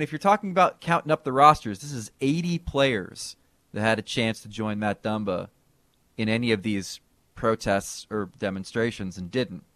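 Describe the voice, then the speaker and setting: A man co-hosting a sports podcast, talking in a casual, animated way with bursts of emphasis. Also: mid-range voice, dry recording. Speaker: podcast co-host.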